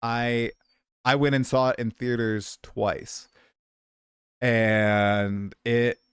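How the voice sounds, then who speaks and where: A chatty man in his late twenties hosts a casual livestream, rambling and poking fun at himself while he's coming down with a cold. His voice is smooth and resonant at a middling pitch. It's dry and close on the mic. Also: little pitch movement, drawn-out words, a bit bright, no echo. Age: 20s. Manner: chatty, self-deprecating, rambling. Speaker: streamer host.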